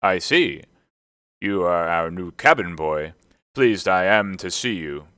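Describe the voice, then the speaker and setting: A man voicing a bluff, sly old one-legged sailor landlord in a storybook, with forceful exclamations and salty seaman's talk. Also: sits low, lively intonation, takes it slowly, clear, resonant tone, no room sound, clean studio sound, exaggerated voice. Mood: hearty welcome.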